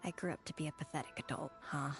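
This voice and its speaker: A woman's voice: low-pitched, quick pace. A woman playing a wistful, self-doubting grown woman who talks in a reflective way, in a game cutscene. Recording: game audio over clear background music.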